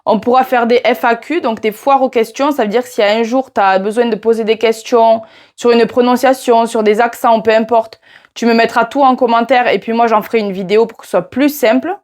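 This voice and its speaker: A woman's voice: fairly high pitch, fairly even intonation, brisk, darkish tone. Chatty young southern French woman. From France. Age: 20s. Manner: chatty, addresses viewer directly with "tu vois". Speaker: YouTuber teaching Portuguese. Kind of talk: casual vlog talking to camera.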